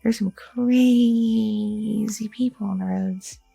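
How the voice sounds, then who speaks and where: A woman's voice: a little high, fairly even intonation, takes it slowly, creaky, mellow, breathy edge, dry recording, soft background music, full character voice. A young woman voicing an anxious goth girlfriend who rambles and second-guesses herself, in an ASMR audio roleplay. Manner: rambling, self-doubting, talks through her worries.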